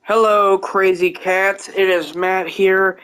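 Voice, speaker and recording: A man's voice: high voice, little pitch movement, slow, a bit bright, clean tone. A man casually chatting as he introduces his video to viewers. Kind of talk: casual vlog chat.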